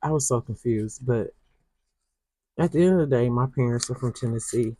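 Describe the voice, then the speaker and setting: A woman from Michigan with a Southern US accent, rambling in a casual way as she decorates her planner. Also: extremely low pitch. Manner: slow, soft-spoken, rambling with restarts. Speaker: planner vlogger.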